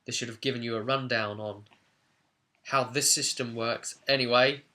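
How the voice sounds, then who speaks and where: A man's voice: mid-range voice, steady tone, moderate pace, background noise. A man giving an informal tutorial as a game master, conversational and stressing key words.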